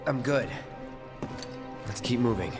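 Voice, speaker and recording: An actor playing a cool, guarded young mercenary in a video game cutscene, speaking in terse, understated phrases. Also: male voice, medium pitch, animated, slow, music in the background. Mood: guarded, curt. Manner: understated, clipped.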